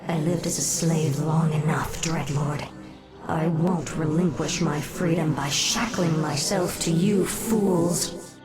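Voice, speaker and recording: A woman's voice: sits low, fairly even intonation, slow. An actress playing a proud, vengeful undead elf queen with a haughty, menacing manner in a fantasy video game. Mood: defiant. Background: dramatic music.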